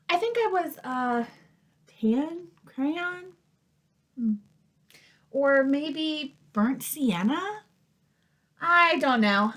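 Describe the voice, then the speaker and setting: A battered crayon from a children's storybook, acted out by a woman reader. The voice is high and unhurried. It pleads with a plaintive, disgusted air of grievance. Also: fairly bright tone, exaggerated voice. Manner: plaintive, aggrieved letter-writer.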